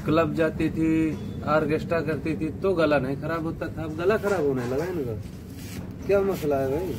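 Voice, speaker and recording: A man giving a scornful, heated commentary, now muttering and now bursting out loudly. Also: on the high side, noisy background. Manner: scornful and heated, rising to loud outbursts. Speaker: online commentator. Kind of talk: ranting video commentary.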